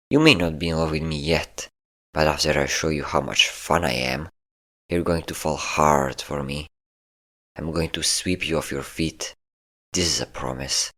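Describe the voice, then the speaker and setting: A man's voice: a bass voice, a bit bright. A man voicing a possessive, sadistic and flirtatious vampire captor in an intimate roleplay audio drama, teasing and commanding. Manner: teasing, commanding, theatrically smug.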